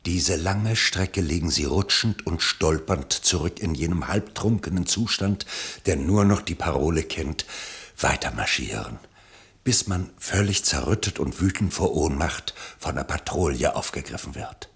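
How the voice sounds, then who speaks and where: A man narrating a radio play from a literary script, with measured, dramatic phrasing. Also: sits low, expressive, gravelly, a bit bright.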